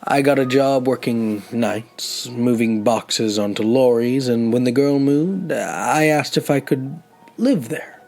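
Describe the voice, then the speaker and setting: An actor playing an older, humble, grateful man who tells his story in halting phrases in an audio drama. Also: medium pitch, darkish tone. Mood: wistful, humble. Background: music.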